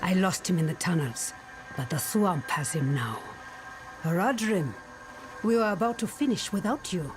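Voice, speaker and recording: A woman playing a stern, cryptic swamp witch in a fantasy game, speaking in a measured, solemn manner. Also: fairly low pitch, animated, over music, close to an everyday voice. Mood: cool, taunting. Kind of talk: cinematic fantasy game acting.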